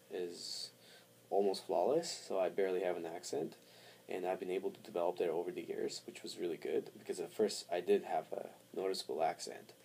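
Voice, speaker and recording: A man talking casually to camera as a vlogger, halting as he thinks aloud. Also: low-pitched, creaky, darkish tone, slight background noise. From born in Montreal, Canada, raised in Armenia from four to seventeen. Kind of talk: casual personal talk to camera.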